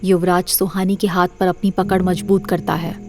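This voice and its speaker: A woman's voice: fairly low pitch, flat delivery, normal speed. A woman narrator reading a scripted horror story for an audio drama series.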